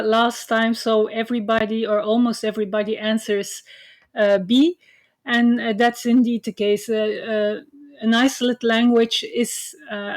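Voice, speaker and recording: Native Dutch woman, lecturer on a video call, halting and filler-heavy, voice sits a bit high. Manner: hesitant, many uh fillers, explanatory. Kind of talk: online university lecture. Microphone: computer mic on video call.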